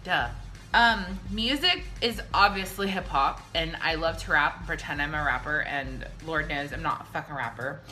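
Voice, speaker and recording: A woman's voice: low-pitched, normal speed, sharp tone, soft background music. A drunk woman hosting a casual, chatty question-and-answer video, blunt and brash, swearing freely.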